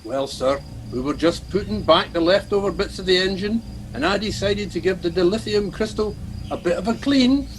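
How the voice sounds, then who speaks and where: A man's voice: high-pitched, moderate pace, a little hiss or hum, natural voice. A man playing a gloomy, sheepish Scottish ship's engineer in a comedy radio play.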